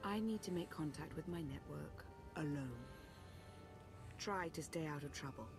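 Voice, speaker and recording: A guarded spymaster woman from a sci-fi game. She speaks in a brisk, dry manner. Music sits clearly behind her. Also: sits low.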